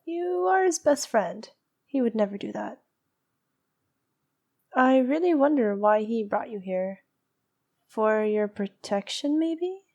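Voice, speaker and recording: A haughty vampire princess, acted by a woman in an ASMR roleplay, with a fairly high yet mellow voice. She speaks very slowly and softly, in a regal, intimate way. Her manner is teasing and noble, with a needy edge. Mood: cool, curious. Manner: soft, regal, teasing, sometimes dropping to a whisper.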